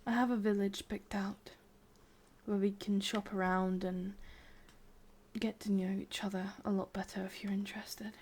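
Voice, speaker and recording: A woman with an English accent playing a possessive, lovesick witch in a whispered ASMR roleplay, coaxing softly and intimately, close to the microphone. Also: mid-range voice, little pitch movement, moderate pace. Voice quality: breathy, whispery.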